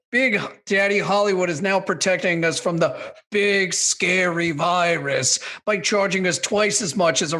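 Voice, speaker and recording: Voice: mid-range voice, fairly bright tone, lightly acted. An actor playing a cranky, dismissive film critic in their sixties in a scripted comedy radio skit. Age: 60s. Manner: grumbling, dismissive, combative. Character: cranky opinionated 68-year-old film critic, a baby boomer.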